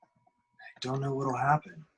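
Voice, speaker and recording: A man playing a stubborn, anguished superhero husband and father in a dramatic read of an animated film script, sounding urgent and strained. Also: medium pitch, takes it slowly, husky, heavily acted.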